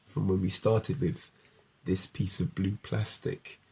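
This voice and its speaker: A man's voice: low voice, little pitch movement, slow pace, vocal fry, warm tone, slightly rough. A man interviewing casually, explaining things and encouraging the person he talks with. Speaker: interviewer, puppet show storyteller.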